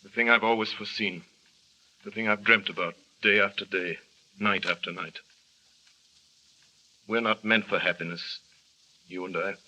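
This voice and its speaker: An actor playing a tormented husband in an old radio drama, speaking gravely and low. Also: male voice, fairly even intonation, moderate pace, pauses often, sharp tone, poor audio. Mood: despairing. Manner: grave, low-spoken confession. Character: tormented guilty husband, grown man, brooding. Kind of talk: 1940s radio acting.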